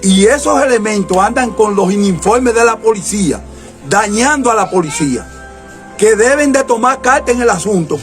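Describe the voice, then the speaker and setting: A mayor speaks firmly in a news interview and presses his demands. His voice is high for a man. Faint music runs underneath. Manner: firm, insistent demands. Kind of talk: interview statement.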